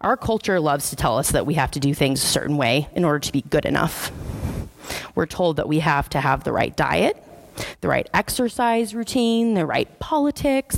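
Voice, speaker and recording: A woman's voice: deep voice, gravelly. A woman preacher, giving a sermon in a church in a conversational, wry, self-deprecating way. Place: church sanctuary.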